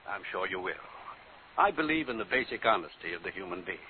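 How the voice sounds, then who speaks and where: An actor playing a kindly, trusting store manager, affable and formal, in a 1950s radio drama. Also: male voice, sits low, sing-song, quick pace. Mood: welcoming, trusting. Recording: tinny old radio broadcast, faint hiss.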